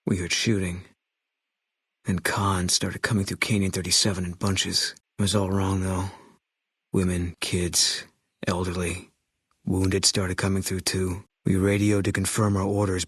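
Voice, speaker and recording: An actor playing a grim, haunted former soldier and sniper in a video game, speaking in terse, clipped, guarded lines. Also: male voice, low voice, level tone, measured pace, husky, no room sound. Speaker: companion character. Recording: dry studio sound.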